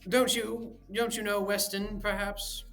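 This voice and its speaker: A man's voice: on the high side, very animated, moderate pace, a bit bright, faint music behind, voice pushed up. A man playing a sneering, sardonic schoolfellow. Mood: mocking. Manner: sarcastic, drawling. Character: slender, younger sardonic man, mocking.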